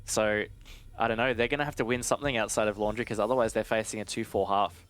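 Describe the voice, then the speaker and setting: A man's voice: lowish voice, fairly even intonation, fast. A man giving conversational, analytical live commentary on an esports match, explaining things in a chatty way. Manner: chatty, explanatory, checks in with "right?".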